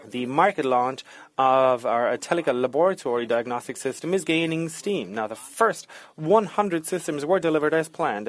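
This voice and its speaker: A man delivering a formal, scripted business presentation in a measured way, as a corporate executive reporting results. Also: fairly high pitch.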